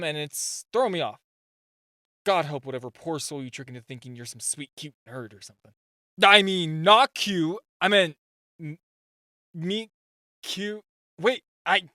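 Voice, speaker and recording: A man's voice: a little high, a bit bright, dry recording, studio quality. A man playing a super-shy, flustered nerdy gadget inventor in an amateur audio roleplay, nervous and prone to stammering.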